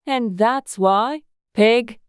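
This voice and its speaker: A man voicing a blunt, rude Duchess in a storybook, with a curt, drawn-out, disdainful delivery. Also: very high voice, clear, resonant tone, no echo, studio quality, pitched above the performer's own voice, full character voice. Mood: haughty, curt. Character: blunt, rude, haughty Duchess, a grown woman.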